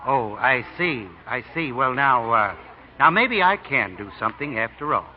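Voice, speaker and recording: An actor playing a folksy, smooth-talking deacon who is a sly card sharp, in vintage radio style with preachy delivery. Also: male voice, medium pitch, sing-song, moderate pace, slight background noise, close to an everyday voice. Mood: flustered. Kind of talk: 1930s-40s radio acting. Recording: muffled old radio broadcast.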